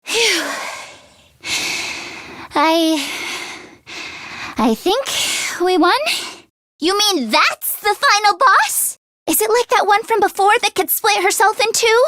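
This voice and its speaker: Very high-pitched, anxious teenage game girl. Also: expressive, slow, pauses often, pushed and bright, no echo, full character voice. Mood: anxious, worried, then startled, puzzled. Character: anxious, earnest teenage girl fighter, tech-minded. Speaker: voice actress. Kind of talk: video game voice acting.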